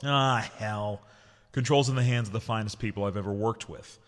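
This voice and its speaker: A man playing a gruff, decisive base commander in an audio drama, with a dry, plain-spoken, commanding manner. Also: lowish voice, moderate pace, close to an everyday voice. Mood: gruff, wry.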